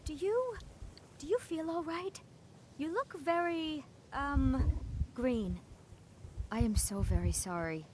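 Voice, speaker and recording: A woman's voice: high-pitched, animated, takes it slowly, long pauses, darkish tone, slight background noise. A woman acting an earnest young student mage in a fantasy video game, polite and somewhat awkward and hesitant. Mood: worried, apologetic.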